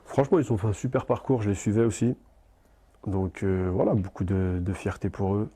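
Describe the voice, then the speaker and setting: A footballer answering interview questions in a calm, plain-spoken way. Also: male voice, fairly low pitch, fairly quick, slightly rough.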